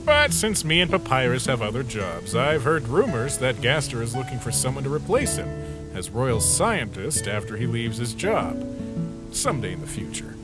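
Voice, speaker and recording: A man's voice: mid-range voice, very animated, moderate pace, natural voice. A man voicing an easygoing, joke-loving skeleton sentry in a comic dub, casual and musing. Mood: relaxed, sincere. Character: easygoing skeleton sentry who loves jokes, troubled by nightmares. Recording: music behind the voice.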